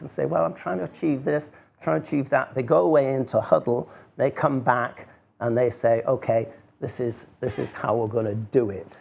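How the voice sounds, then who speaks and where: A man's voice: mid-range voice, vocal fry, darkish tone. A man giving an informal live talk as a speaker on sound art, hesitant and conversational with wry asides.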